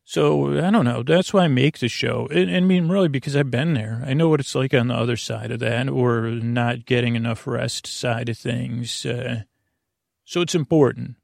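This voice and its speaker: Creaky-voiced man, meandering bedtime podcaster. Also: medium pitch, dry recording, studio quality. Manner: meandering, halting, self-interrupting, earnest. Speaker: podcast host. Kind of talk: rambling, soothing bedtime podcast monologue.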